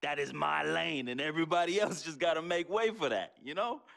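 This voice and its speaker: Streetwise hustler friend in a game, slangy, lively swooping voice, says yo a lot. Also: male voice, on the high side, a little fast, gravelly. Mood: defensive. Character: streetwise young male hustler friend, boastful and eager. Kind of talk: video game cutscene acting.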